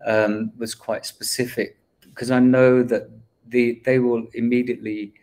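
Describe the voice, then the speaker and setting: A man's voice: medium pitch, flat delivery, unhurried, a little mellow. A man who is an artist, talking reflectively about his work with many hesitant fillers. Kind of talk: livestream discussion.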